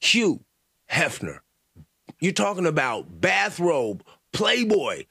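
Older man, gravelly husky voice, lively swooping pitch, loud profane podcast rant. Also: slow pace, dry recording, clean studio sound, soft background music. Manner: loud, profane, stammering restarts. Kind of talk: casual commentary reacting to clips.

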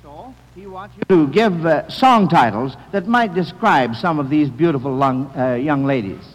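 A man with a high voice hosts a classic radio quiz in a folksy, encouraging manner. His intonation swings freely, and the old recording rings with hall reverb. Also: expressive, moderate pace. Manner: folksy, encouraging, talking to children. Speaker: quiz show host.

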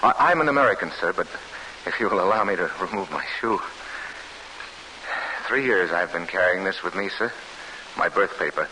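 Weary, determined refugee man, very low and intense, vintage wartime radio play. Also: lively intonation, normal speed, pauses often, pushed and bright, a little hiss or hum, poor audio. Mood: weary, urgent. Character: weary, defiant young Polish-American refugee man, earnest and determined. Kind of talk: 1940s American radio drama acting. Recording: old radio recording, faint music.

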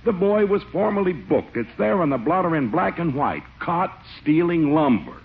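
An actor playing a sentimental, easily swayed small-town police chief in an old radio comedy, blustery and earnest. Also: male voice, fairly high pitch, big pitch swings, normal speed, creaky. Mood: defensive. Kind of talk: 1940s radio sitcom acting. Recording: muffled, noisy old radio recording.

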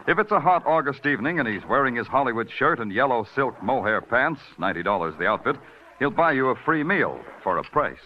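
A man's voice: mid-range voice, big pitch swings, a little fast, slight background noise, lightly acted. An actor playing a terse, hard-bitten male police detective who also narrates in a brooding, poetic way, in 1940s radio acting. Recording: dull old radio broadcast with music.

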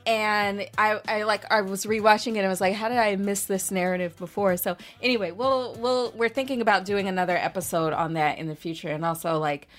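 A woman's voice: medium pitch, steady tone, brisk, hardly any pauses, soft background music. A woman co-hosting a podcast in casual chat, speaking in a blunt, sardonic way and swearing freely. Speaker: podcast co-host.

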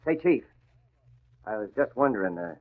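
An actor playing a chummy working-class newspaper reporter, folksy and hesitant, in 1940s radio acting. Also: male voice, on the low side, sing-song, moderate pace. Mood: wheedling. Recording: tinny old radio recording.

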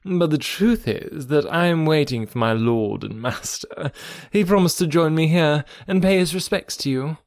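A man's voice: a little high, animated, moderate pace, dry recording, studio quality, pitched above the performer's own voice. A man voicing a boastful, self-important young married lady in an audiobook of a classic novel, with an affected, showy, patronising delivery. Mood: smug, vivacious.

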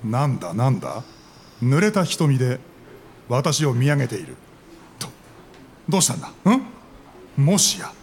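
An actor playing a pompous, easily flustered middle-aged department manager in theatrical live radio drama style. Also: male voice, mid-range voice, sing-song, moderate pace, pauses often, a little hiss or hum. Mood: startled, flustered. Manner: theatrical, stagey delivery.

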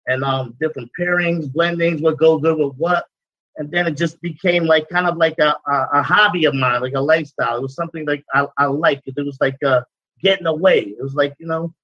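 A bright, fairly high-pitched man in his forties, chatting loosely as an interview guest. He talks in loose, repetitive runs, leaning on "you know". The sound is dry and a bit dull. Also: no room sound. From Guyana. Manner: relaxed, repetitive, rambling with many "you know"s. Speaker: cigar business owner, guest. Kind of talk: casual interview talk.

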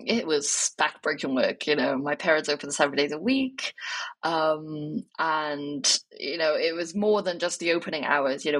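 A woman's voice: deep voice, dry recording, low-quality recording. A young woman from Wales, talking reflectively as an interview guest, with many fillers and restarts. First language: Cantonese.

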